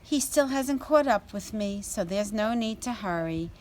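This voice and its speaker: Woman voicing male hare, children's storybook, boastful, smug, breezy, unhurried pace. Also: medium pitch, expressive, a little mellow, a little hiss or hum, natural voice, poor audio.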